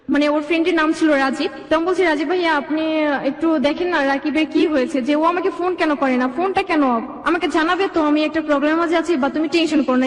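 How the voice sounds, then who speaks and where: High-pitched young woman, rapid storytelling, echoey. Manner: run-on, detailed retelling with reported speech. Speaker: guest telling own life story. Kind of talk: conversational personal storytelling, quoting dialogue. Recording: echoey, reverberant sound.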